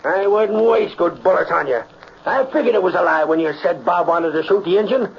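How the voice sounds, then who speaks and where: A blunt, indignant cowboy in a radio western. He has a high voice and a folksy American West accent, with dull, slightly noisy vintage sound. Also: brisk. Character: gruff, indignant rustic cowboy, plain-spoken. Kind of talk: 1940s radio western acting. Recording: dull, faintly noisy old radio recording.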